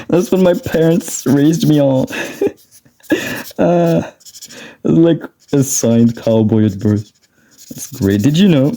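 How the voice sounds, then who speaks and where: Young man from France, mellow voice, rambling chat, frequent laughs. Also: mid-range voice. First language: French. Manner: rambling, laughs often, restarts and trails off. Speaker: drawing vlogger. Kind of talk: casual rambling chat while drawing.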